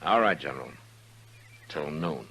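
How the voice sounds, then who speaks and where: World-worn town marshal, very low voice, firm clipped lines, 1950s radio western. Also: male voice, expressive, a little slow, bright, forceful tone, a little hiss or hum, exaggerated voice. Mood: firm, stern. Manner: terse, dry, firm.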